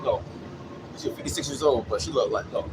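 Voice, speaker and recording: A man's voice: mid-range voice, brisk. A man in his late thirties talking off the cuff in a casual, repetitive ramble, often checking in with "you know" tags. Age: late 30s. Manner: repetitive, peppered with "you know what I'm saying". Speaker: vlogger. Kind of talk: casual rambling monologue with anecdotes. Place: on a city bus. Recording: clear vehicle and air noise.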